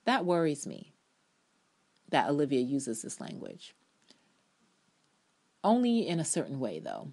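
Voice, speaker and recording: A woman's voice: rumbling low, huge pitch swings, measured pace, vocal fry, pauses often, darkish tone. A woman reviewer giving a dramatic reading of a written TV review, emphatic and opinionated, drawing out key words and adding offhand asides. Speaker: TV episode reviewer.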